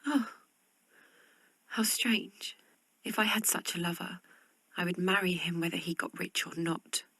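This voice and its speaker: An actress playing a timid, earnest young sister of a servant family in a period audio drama, with a husky, breathy, hesitant delivery. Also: female voice, fairly low pitch, fairly even intonation, a little slow, bright, forceful tone, dry recording, clean studio sound, exaggerated voice. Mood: wistful. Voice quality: creaky, husky, often breathy and whispery. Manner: hesitant, wistful, reproving.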